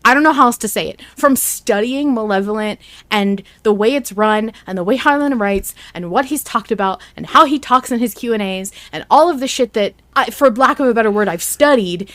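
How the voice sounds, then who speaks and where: A woman with a fairly high voice hosts a fan podcast. She talks in an excited, emphatic way, often halting and starting again as she gushes. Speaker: podcast host.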